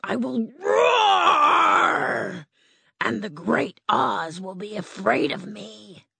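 A narrator voicing a fearful male lion, speaking softly and higher than the narration. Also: slow pace, creaky, full character voice. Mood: timid. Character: timid male lion, always afraid.

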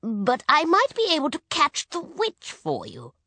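A woman voicing a knowing old male wizard with a deliberate, incanting manner in a storybook. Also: high voice, huge pitch swings, pushed and bright, dry recording, pitched above the performer's own voice, full character voice. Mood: solemn. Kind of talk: children's storybook character voice.

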